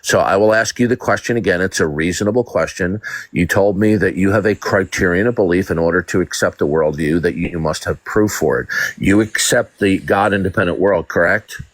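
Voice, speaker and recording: A man's voice: low-pitched, fairly quick, no echo. A man hosting a live call-in debate, domineering and mocking, often stammering on repeated words. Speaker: live-stream debate host.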